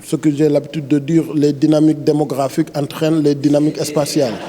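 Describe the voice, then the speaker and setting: Mellow, hesitant Senegalese man. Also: a little high, level tone, fast. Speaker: director general of a planning agency. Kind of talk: explanatory press interview.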